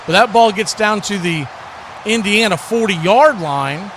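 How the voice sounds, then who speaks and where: A man's voice: high-pitched, expressive, a little slow, background noise. A man calling American football live as a play-by-play commentator. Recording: loud crowd roar and music behind.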